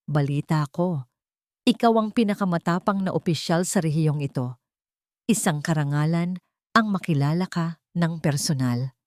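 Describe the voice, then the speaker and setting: Storybook villainess-type rich woman, voiced by a female reader: poised, gentle authority, veiled menace, dry recording. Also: deep voice, no room sound, lightly acted. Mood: cool, courteous. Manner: gentle but authoritative, measured.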